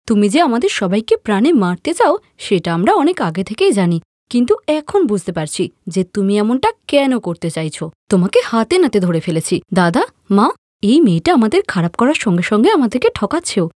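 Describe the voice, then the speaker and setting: A woman's voice: fairly high pitch, very animated, a little fast, darkish tone, no echo, clean studio sound. A woman voicing an arrogant, scheming young sister-in-law in a cartoon story, with an accusing, melodramatic, taunting manner. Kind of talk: Bengali cartoon story voice acting.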